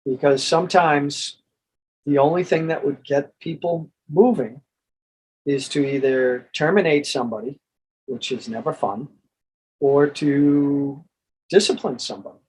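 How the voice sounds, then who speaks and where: A man with an American accent and a husky voice, presenting in an informal monologue and reading from a book. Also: a little high, unhurried, darkish tone, a little hiss or hum, low-quality recording. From United States. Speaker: presenter and reader.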